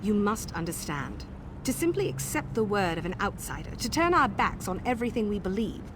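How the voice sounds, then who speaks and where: Courteous, grave elf councilwoman played by an actress, mid pitch, measured game delivery, noisy ambience. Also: big pitch swings, natural voice. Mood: solemn. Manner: solemn, measured, formal. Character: dignified, courteous female Wood Elf council elder. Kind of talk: video game quest dialogue.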